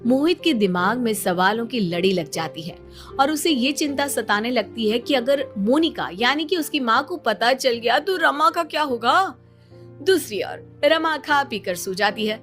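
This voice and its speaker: A woman's voice: on the high side, animated, a little slow, a bit bright, faint music behind. A woman narrating a scripted story as the storyteller.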